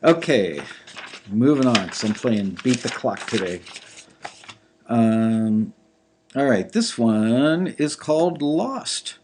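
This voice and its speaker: A man with a slightly gravelly voice giving casual live commentary on listeners' songs, thinking aloud with lots of fillers. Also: medium pitch, animated, takes it slowly, long pauses, a little mellow, a little hiss or hum. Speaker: music industry reviewer critiquing listener songs.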